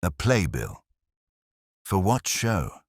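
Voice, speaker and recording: Man playing a wisecracking deep-voiced detective's sidekick. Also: wildly swooping pitch, slow, no echo, clean studio sound, heavily acted. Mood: wry. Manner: wry, flippant. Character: wisecracking, streetwise male detective's assistant.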